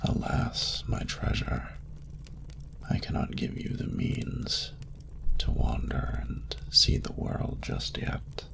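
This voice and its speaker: A man voicing an ancient, courtly dragon ruler who speaks in a breathy, gravelly murmur with formal, doting phrasing, in an intimate romantic audio roleplay. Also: in a whisper, close to an everyday voice. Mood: tender, regretful. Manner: slow, formal, archaic, tender phrasing.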